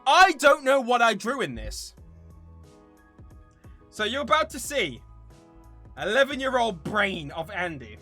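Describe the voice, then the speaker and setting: Animated, bright-voiced man around thirty. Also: extremely high pitch, measured pace, pauses often, soft background music. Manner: playful, theatrically mock-serious. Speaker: channel host. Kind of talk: casual chatty vlog to viewers.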